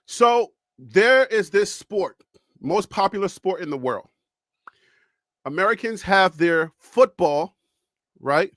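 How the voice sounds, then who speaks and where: A man with a Liberian accent hosts a live sports show from a small home studio, speaking a bit higher than most men. He talks to his viewers as a storyteller, loose and chatty. The sound is dry and close, with no room echo. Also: animated, slow, pauses often, a bit bright. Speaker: host. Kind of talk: live-stream sports talk.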